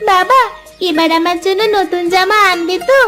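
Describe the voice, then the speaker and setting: A woman's voice: very high voice, moderate pace, bright, forceful tone, faint music behind. A woman voicing an eager, sweet little village girl in a cartoon fairy tale, with a childlike manner. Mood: excited.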